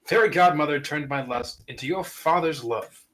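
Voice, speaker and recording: Fantasy table read: man as protective dragon father figure, grandiose storybook diction, voice a touch high. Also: moderate pace, a bit bright, rough recording. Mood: solemn devotion. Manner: earnest, grandiose, storybook phrasing. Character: devoted fatherly dragon guarding a princess.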